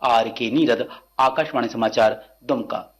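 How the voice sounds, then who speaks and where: Mid-pitched man, radio news correspondent. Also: unhurried. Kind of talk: scripted radio news report.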